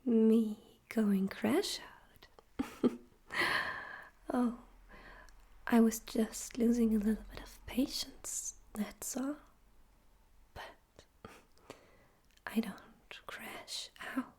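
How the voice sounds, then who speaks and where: A woman voicing a smug, politely menacing male radio demon overlord in a soft, breathy, theatrical whisper for an ASMR roleplay. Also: on the high side, steady tone, exaggerated voice. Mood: defensive. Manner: slow, teasing, theatrical, condescending.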